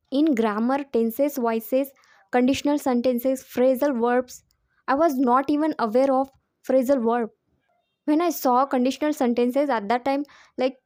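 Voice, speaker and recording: A woman's voice: high-pitched, mellow, no echo, clean studio sound. A woman who speaks English as a second language, giving an informal lesson from personal experience and repeating points for emphasis. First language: not English. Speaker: English teacher giving advice.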